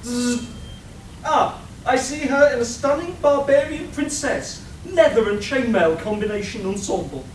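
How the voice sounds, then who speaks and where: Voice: mid-range voice, animated, unhurried, lightly acted. Someone doing a snooty robot fashion designer, super theatrical n pompous, french airs, echoey room, bit of noise. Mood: snooty, appraising. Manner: pompous, dramatic, sprinkled with French phrases. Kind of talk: live comic radio play. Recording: roomy distant live recording with background noise.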